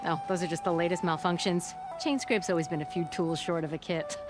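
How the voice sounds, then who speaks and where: A woman's voice: fairly low pitch, fairly even intonation, brisk, over loud music, close to an everyday voice. A woman playing a brash, wry forge worker in a video game, blunt and teasing with an old friend.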